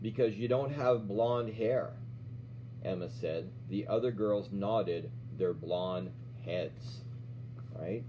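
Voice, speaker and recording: A middle-aged man reading a children's storybook aloud as an instructor, pausing to explain and check in with questions. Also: fairly low pitch, mellow. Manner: checks in with questions, often ending "right?". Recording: dull lo-fi sound with faint hum.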